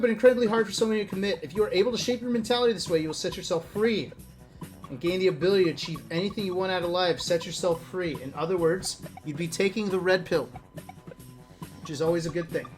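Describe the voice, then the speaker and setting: Background music plays under a streamer with a mid-pitched voice reading aloud. The delivery is casual and wry, slipping out of the text into off-the-cuff comments addressed straight to viewers. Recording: music playing behind the voice.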